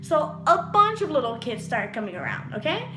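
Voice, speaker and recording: A young woman telling a storytime tale in a vlog, animated and giggly, acting out voices as she goes. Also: high voice, brisk, noisy background. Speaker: vlogger. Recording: echoey room.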